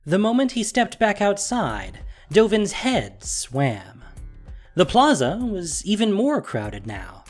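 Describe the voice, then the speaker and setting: An expressive narrator tells a scripted audio drama story. The voice sits at a middling pitch and rises and falls widely through each sentence.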